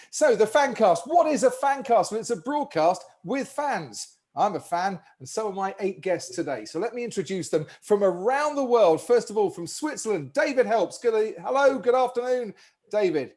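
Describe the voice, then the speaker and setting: A man's voice: high voice, very animated, moderate pace, a little mellow. A man hosting a podcast with remote guests, upbeat and jokey, in broadcaster patter over a video call. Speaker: podcast host.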